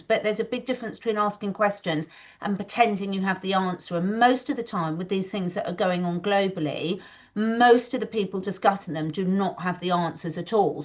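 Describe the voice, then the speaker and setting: A woman co-hosting a casual chat, talking earnestly in long, emphatic runs. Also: medium pitch. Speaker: co-host. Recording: slightly dull lo-fi audio.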